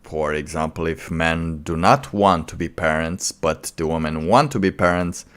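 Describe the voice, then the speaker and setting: A man giving casual, off-the-cuff commentary as a streamer, in a halting, rambling way. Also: a bass voice, smooth. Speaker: streamer reacting to a video.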